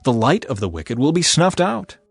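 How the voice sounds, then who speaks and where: A man delivers a dramatized scripture reading with wide, animated intonation. His delivery is solemn, measured and exhortative. The sound is close and dry, with music playing behind him. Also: mid-range voice, vocal fry, breathy edge, no echo. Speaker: scripture narrator. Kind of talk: dramatized audio Bible reading. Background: background music.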